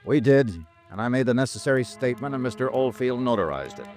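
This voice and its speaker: An actor playing a weary hardboiled American investigator with a soft spot, in 1950s radio detective drama style. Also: male voice, mid-range voice, animated, quick pace, over loud music, lightly acted. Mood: grim narration. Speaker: lead actor and first-person narrator.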